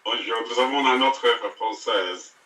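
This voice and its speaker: An American man speaking French as a learner, halting and searching for words. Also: mid-range voice, sharp tone, roomy sound, recorded from a distance. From United States. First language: English. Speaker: language learner.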